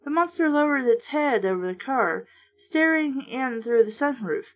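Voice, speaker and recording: A woman with a fairly high voice narrates a storybook and comments on it as she goes. Her delivery is casual and blunt, with sarcasm and free swearing. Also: animated, moderate pace. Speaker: narrator and commentator reading a book aloud. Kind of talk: storybook read-aloud with casual, irreverent commentary.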